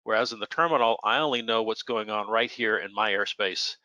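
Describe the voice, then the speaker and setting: Bright-voiced American man explaining plainly. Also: on the low side, a little fast, no room sound, lo-fi sound. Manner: plainspoken, illustrates points with imagined radio exchanges. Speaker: air traffic controller answering questions. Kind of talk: conversational expert Q&A, explaining.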